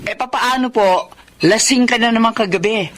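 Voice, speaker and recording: A woman's voice: on the high side, normal speed, a bit bright, slight background noise, close to an everyday voice. A woman playing a worried, nagging wife, reproachful and exasperated, in a Tagalog radio drama.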